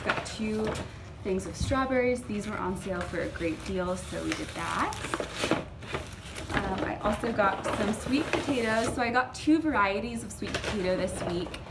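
A woman's voice: medium pitch, animated, normal speed, very noisy. A young woman in her twenties chatting casually about her grocery haul, upbeat and full of little fillers, recorded at home on a close camera. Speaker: vlogger. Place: small indoor room at home.

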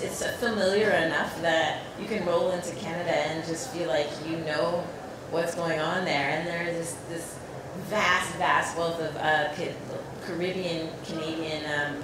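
American woman on a panel, lower voice, chatty and animated, mic a bit far off, big echo and hum. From United States. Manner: casual, animated storytelling with many likes. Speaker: panel artist. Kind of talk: panel discussion answer. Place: large echoey hall with a live audience. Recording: echoey hall, mic held far off, hum.

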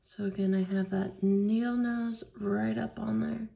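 A woman leading a hands-on beading tutorial at a craft table, explaining each step slowly and patiently. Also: on the high side, little pitch movement, vocal fry, phone-quality sound. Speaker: craft instructor.

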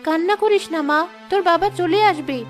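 A woman's voice: very high voice, brisk. A woman voicing a patient, worried village wife and mother in a cartoon, in a soothing, concerned manner. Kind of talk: cartoon dialogue dub. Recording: music under the voice.

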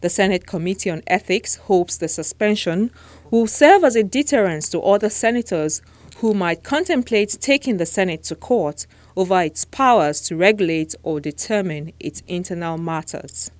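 A woman with a Nigerian accent presents a scripted news report. Her voice sits a little lower than average. She delivers it as a television host narrating a story. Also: lively intonation, moderate pace, dry recording.

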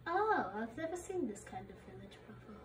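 A woman's voice: a little high, a little fast, distant mic. A woman voicing a boastful alien who speaks of itself in the third person, with a Russian accent. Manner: refers to self in third person, proud and deadpan. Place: bedroom with a computer.

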